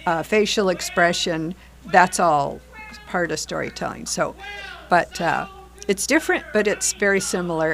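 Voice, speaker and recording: An older woman speaks as a guest in a casual interview and thinks aloud about performing. Her voice sits on the low side. She talks haltingly, pausing and restarting often. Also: vocal fry, fairly bright tone. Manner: hesitant, many fillers and restarts. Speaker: guest, theater group facilitator.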